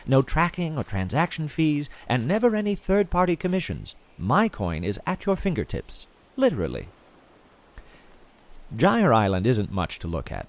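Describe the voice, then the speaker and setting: A man playing an obsessive, chatty podcaster who confides in his listeners about his treasure-hunting expedition in an audio drama. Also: medium pitch. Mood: salesman-like, then musing, confiding. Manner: theatrical, confiding, addresses listeners directly. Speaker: podcast host in audio fiction. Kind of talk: audio fiction monologue as a podcast host.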